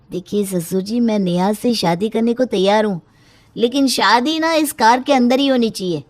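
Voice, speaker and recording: A woman's voice: a little high, a little slow. Woman as a stubborn, nonchalant young groom.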